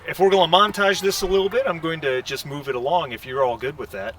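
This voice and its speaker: A man narrating a tabletop sci-fi game as game master, with halting, improvised phrasing. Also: on the high side, steady tone, fairly bright tone, slight background noise.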